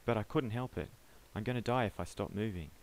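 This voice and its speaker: A man puts on a frightened, winded man in an audiobook, his voice pushed high and loud as he begs to be left alone. The pleading tumbles out in a rush, frantic and out of breath. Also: brisk, a little hiss or hum, lightly acted, low-quality recording. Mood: panicked pleading. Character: panicked breathless young man fleeing.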